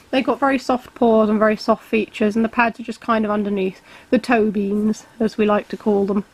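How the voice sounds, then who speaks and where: A woman, calmly explaining each step of a drawing tutorial while she sketches. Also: on the high side, rough recording. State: has a cold, slightly hoarse. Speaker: art tutor.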